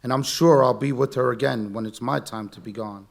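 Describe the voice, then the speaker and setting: A man in his forties performing a spoken word poem at an open mic, earnest, slow and heartfelt. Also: mid-range voice, steady tone, vocal fry. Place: community center event.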